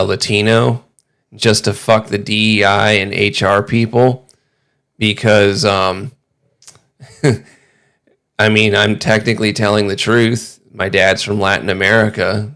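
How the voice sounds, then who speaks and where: Slow-talking man with a smooth, low voice. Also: little pitch movement, fairly bright tone. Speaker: livestream host reacting to videos. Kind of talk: casual livestream chat.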